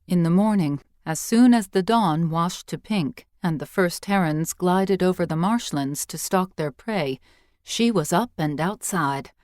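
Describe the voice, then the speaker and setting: A woman reading scripted fiction as an audiobook narrator, with measured, expressive storytelling. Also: fairly low pitch, no room sound, studio quality.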